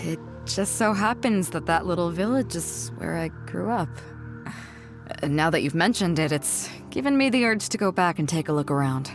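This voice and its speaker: Casting: assured woman sea captain for a video game, lower register, measured storyteller with a playful streak, over music. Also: animated. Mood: reflective. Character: confident adult woman sea captain, playful yet reflective. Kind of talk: video game character dialogue.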